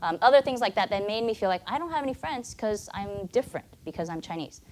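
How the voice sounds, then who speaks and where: A woman's voice: medium pitch, lively intonation, fast, darkish tone, poor audio. An American woman in her thirties, a native Mandarin speaker, telling her own story as a guest speaker at a church, in an earnest, conversational way. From United States. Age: 30s. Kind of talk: personal testimony talk.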